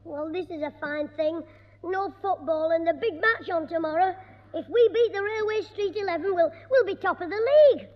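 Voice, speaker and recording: A cheeky schoolboy with a piping, very high voice. The delivery is mischievous and full of wisecracks, like a 1960s radio sitcom. It sounds dull and echoey, from an old broadcast. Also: male voice, normal speed. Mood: grumbling.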